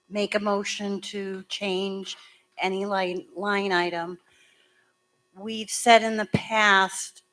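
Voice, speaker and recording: Hoarse-voiced woman town clerk. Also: medium pitch, a bit bright. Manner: plainspoken, chatty, explains step by step. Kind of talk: explaining procedure at a public town meeting. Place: school meeting room.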